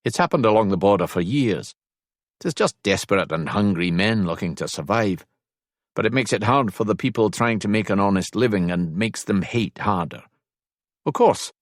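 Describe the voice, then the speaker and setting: Man voicing a low, gruff Scottish Highlander. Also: dry recording, clean studio sound. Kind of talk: audiobook character dialogue.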